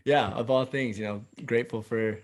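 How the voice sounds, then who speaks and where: A man's voice: mid-range voice, fairly even intonation, normal speed. A man hosting a golf podcast, chatting eagerly and rambling with lots of filler words. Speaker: podcast host. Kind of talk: casual interview chat.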